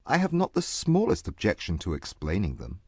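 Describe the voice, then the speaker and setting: A man playing a proud, aloof Regency gentleman in a dramatized audiobook, speaking formally and with measured haughtiness. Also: deep voice, huge pitch swings, slightly rough, dry recording, full character voice. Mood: haughty, dry. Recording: music bed under the voice.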